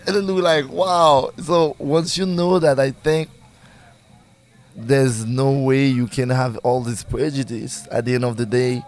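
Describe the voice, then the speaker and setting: A man's voice: mid-range voice, steady tone, unhurried. A man from Haiti with a Haitian accent, speaking as a musician in a casual interview, searching for his words as he goes. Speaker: musician, singer and bandleader being interviewed.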